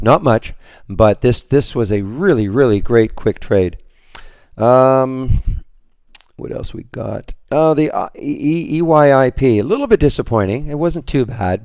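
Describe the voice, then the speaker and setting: A hoarse man moderating a trading room, talking through his trades on a screen share in a rambling way, full of restarts and fillers. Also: mid-range voice, darkish tone, dry recording, studio quality.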